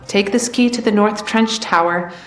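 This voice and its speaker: A woman's voice: mid-range voice, normal speed, reverberant, soft background music, natural voice. An actress playing a resourceful, scheming female leader who hands out missions in a video game, confiding and businesslike. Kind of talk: scripted video game dialogue.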